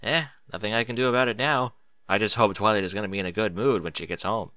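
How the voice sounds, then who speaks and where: A man's voice: on the low side, fast talker, pushed and bright, no echo, studio quality. A man voicing an earnest, sheepish young boy dragon with a casual manner in a storybook reading. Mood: resigned.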